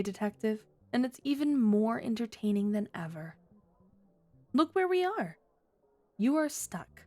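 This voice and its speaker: A woman's voice: mid-range voice, a little mellow, close to an everyday voice. A woman playing a flirty, taunting underworld rogue in an ASMR audio roleplay, with a teasing, sardonic drawl. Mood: amused, smug. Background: music.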